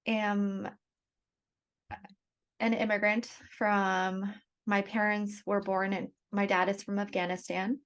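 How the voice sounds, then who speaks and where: A young adult woman, born in Russia and raised in Canada, telling her life story as a podcast guest in a soft, thoughtful way with pauses. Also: medium pitch. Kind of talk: reflective personal storytelling.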